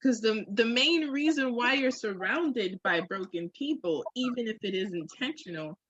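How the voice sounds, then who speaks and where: A woman's voice: fairly high pitch, expressive, normal speed, fairly bright tone, husky, dry recording, dull audio. A woman in a candid, casual podcast conversation, speaking reflectively and emphatically with slang. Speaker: podcast co-host.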